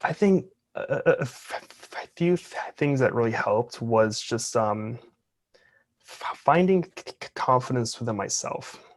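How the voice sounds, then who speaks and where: A man's voice: medium pitch, lively intonation, measured pace. A man in his twenties, an interview guest, who talks openly and earnestly while stuttering with repeated sounds and blocks. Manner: open, earnest. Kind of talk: casual interview talk.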